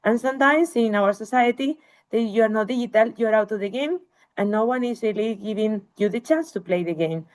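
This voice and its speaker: A woman's voice: on the high side, brisk. A woman researcher giving an academic webinar lecture, explaining slides to listeners.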